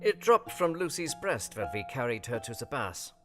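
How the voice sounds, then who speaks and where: An actor playing a kindly, wise old Dutch professor in an audio drama, with quaint foreign phrasing and theatrical emphasis. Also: male voice, medium pitch, big pitch swings, normal speed, music in the background, lightly acted. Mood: measured, reassuring. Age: older.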